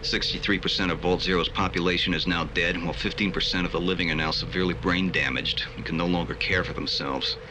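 An actor playing a frustrated, resigned scientist keeping a bleak log in a game. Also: male voice, low voice, steady tone, fast, hardly any pauses, very noisy, natural voice. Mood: weary despair. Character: weary, frustrated male scientist recording a grim log.

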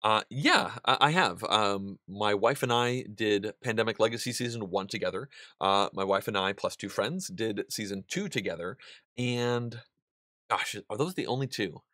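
A man in his thirties hosting a board game channel, seated at a desk in a small studio and answering viewer questions in a relaxed, chatty way with plenty of ums and restarts. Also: on the low side, moderate pace, no echo. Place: indoor studio room with a wall of game pieces.

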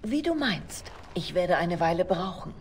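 Low-voiced, secretive female spy. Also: sing-song, a little slow, a little hiss or hum. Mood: guarded, reluctant. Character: smooth, confident, secretive female spy and lover. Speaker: voice actress in a video game. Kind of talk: German fantasy game dubbing.

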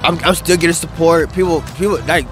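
A man's voice: fairly high pitch, steady tone, fairly quick, poor audio. A young man giving a casual outdoor interview on a grassy field, talking loosely with lots of slang, into a handheld mic. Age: young adult. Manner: loose, rambling, slangy, lots of "bro" and "dude". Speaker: interviewee, wheelie rider. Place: open grass field outdoors by a city skyline. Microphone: handheld mic held by interviewer. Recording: music playing underneath. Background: background music.

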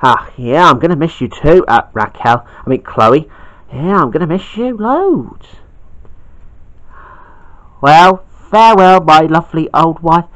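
A woman's voice: sits low, expressive, measured pace, long pauses. A woman voicing a fumbling, kindly older man who keeps tripping over names, in a homemade toy roleplay. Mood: fond. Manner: hesitant, stumbling over names.

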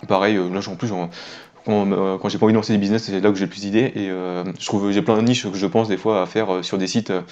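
A man's voice: lowish voice, steady tone, fast, darkish tone, highs cut off. A man in his twenties chatting casually as an interview guest, informal and rambling. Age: 20s. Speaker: interviewed web entrepreneur. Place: small indoor room with wooden ceiling.

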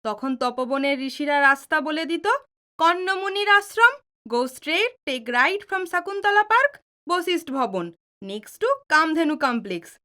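A woman plays a cheeky young daughter in an audio drama. Her voice is high and bright with bouncy, varied pitch. She speaks in a teasing, headstrong way. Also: big pitch swings, unhurried, heavily acted. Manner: teasing, mixes in English.

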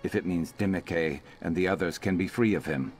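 An actor playing a grim, determined former slave companion in a video game, measured and earnest with dry humour. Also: male voice, low voice, soft background music, lightly acted. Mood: grave, resolute.

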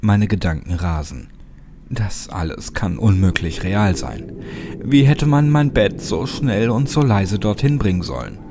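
A man narrating a horror story as a dramatic audiobook, with a suspenseful, measured delivery. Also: fairly low pitch, very animated, background noise. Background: loud music under the voice.

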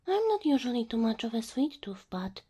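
A woman performing an ASMR roleplay as a gentle, doting cow-girl girlfriend, speaking softly and tenderly into a close microphone. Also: fairly high pitch, sing-song. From Slavic Eastern Europe. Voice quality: soft, breathy. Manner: tender, slow, coaxing.

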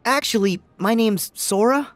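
A man's voice: high voice, a little slow, a bit bright, dry recording. An actor playing an upbeat teenage boy hero in a video game, earnest and youthful. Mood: friendly, puzzled. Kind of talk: animated video game dialogue.

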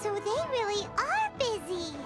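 A woman voicing a tiny, perky, pushy childlike fairy girl with a squeaky voice in a cartoonish video game. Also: very animated, measured pace, over music, full character voice. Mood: curious.

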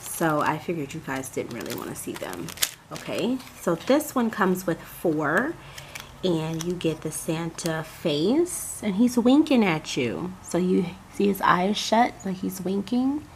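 Vlogger, woman, lower register, a bit raspy from a cold, chatty and effusive; faint background hiss. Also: sing-song, unhurried, poor audio. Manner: chatty, gushing, addresses viewers as "you guys". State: hoarse from a cold. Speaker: crafting channel host. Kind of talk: casual unboxing vlog.

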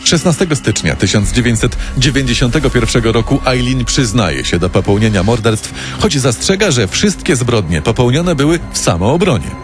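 A man's voice: mid-range voice, lively intonation, normal speed, few pauses. A man narrating a scripted true-crime radio story in a dramatic storytelling manner. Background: prominent music bed.

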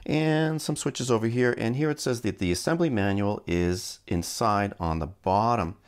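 A man hosting a hands-on unboxing, casually narrating each step as he works without hurry. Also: lowish voice. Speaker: host of a vintage electronics channel.

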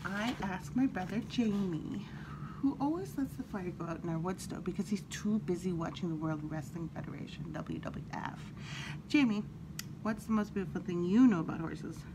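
A woman storyteller reading a children's picture book aloud in an animated, expressive way, with brief asides. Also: fairly low pitch, normal speed. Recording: clearly audible background noise.

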